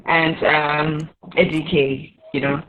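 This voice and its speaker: A woman's voice: low voice, flat delivery, takes it slowly, phone-quality sound. A young South African woman, a singer, chatting casually about herself in an interview and laughing easily. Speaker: guest singer.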